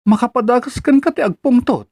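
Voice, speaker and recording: A man's voice: very high-pitched, a little fast, a little mellow, dry recording, studio quality. A man acting an earnest young husband and father who patiently pleads for peace, with an Ilocano accent, in a radio-style drama. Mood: placating. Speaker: voice actor.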